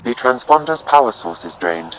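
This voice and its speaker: An actor voicing a calm, formal, helpful artificial intelligence with measured delivery in a video game. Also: male voice, medium pitch, a little hiss or hum, low-quality recording. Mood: calm, informative.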